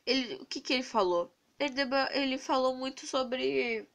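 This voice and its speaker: A young Brazilian woman with a cold, hoarse and stuffy-nosed, venting earnestly into her phone camera in a rambling casual vlog. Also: high-pitched, normal speed, a bit bright. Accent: Brazilian Portuguese. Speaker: vlogger. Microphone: phone camera held close to the face.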